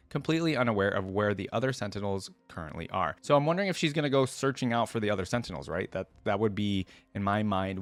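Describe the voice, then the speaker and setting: Guy with a lowish voice doing a reaction vid, reading stuff out and wondering aloud, super dry sound. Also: dry recording. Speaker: reaction video host. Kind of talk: casual reading aloud with commentary.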